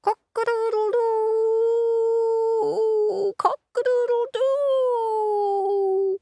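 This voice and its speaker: Shrill, very high crowing cockerel. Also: female voice, big pitch swings, measured pace, creaky, full character voice. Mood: crowing loudly. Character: loud crowing cockerel, doomed and dramatic. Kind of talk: storybook character voice.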